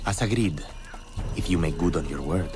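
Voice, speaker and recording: An actor playing a haughty, threatening conspirator in a video game, curt and imperious. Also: male voice, low voice, moderate pace, music in the background, lightly acted. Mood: cold, warning. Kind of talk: dramatic video game acting.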